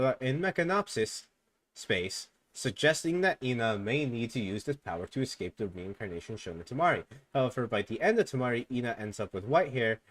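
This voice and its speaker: A young man streaming, reading fan theories aloud in a low mumble and breaking into loud excited outbursts.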